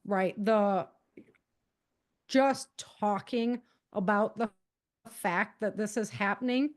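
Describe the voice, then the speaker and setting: A woman's voice: mid-range voice, a little mellow. A middle-aged American woman giving conversational interview answers in an earnest, emphatic and informal way. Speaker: podcast guest, author and self-defense teacher.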